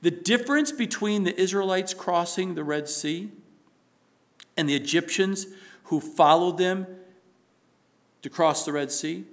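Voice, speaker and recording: A man preaching a sermon, building to loud emphatic stretches and dropping to a soft prayerful tone. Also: high voice, measured pace, long pauses.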